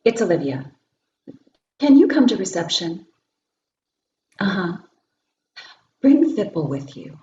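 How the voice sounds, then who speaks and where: Mellow woman receptionist acted, dull roomy recording. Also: fairly low pitch, big pitch swings, takes it slowly, long pauses. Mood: businesslike. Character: polite, businesslike female police receptionist. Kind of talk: script table read. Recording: dull, roomy, noisy lo-fi sound.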